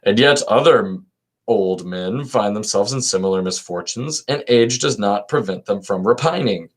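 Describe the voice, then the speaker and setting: Male reader as elderly Athenian companion: deep, earnest, worried, formal storybook-dialogue delivery. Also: lively intonation, moderate pace.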